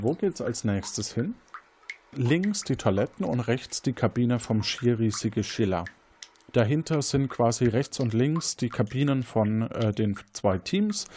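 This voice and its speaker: Guy running a crime game as host, describes stuff slowly, pitch goes all over. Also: a little mellow, slight background noise. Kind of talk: narrating an interactive crime game, semi-improvised.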